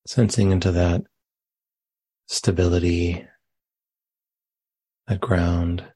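Guy leading meditation, really low husky voice, gentle, lots of pauses between phrases. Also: flat delivery, no room sound. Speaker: meditation teacher. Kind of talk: guided meditation, spoken live.

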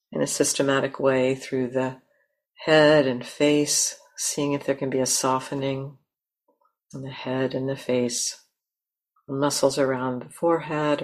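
A woman leading a guided meditation in a gentle, unhurried manner with long pauses. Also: a bass voice. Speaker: meditation teacher.

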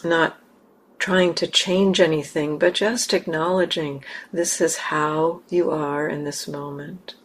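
Slow, low-voiced woman guiding meditation. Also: steady tone, slight background noise. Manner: gentle, unhurried, with long pauses. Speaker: meditation teacher. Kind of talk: guided meditation.

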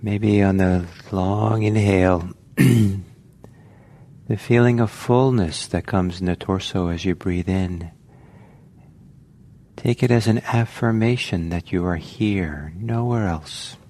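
A man leading a guided meditation as a teacher, speaking gently in short phrases with long pauses. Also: deep voice. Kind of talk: guided meditation, slow spoken instructions. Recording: faint background noise.

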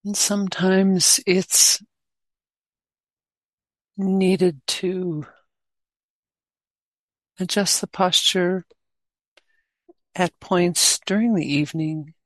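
A woman guiding a meditation as a teacher, speaking gently in short unhurried phrases with long pauses. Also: lowish voice, fairly even intonation, no room sound, rough recording. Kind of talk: guided meditation.

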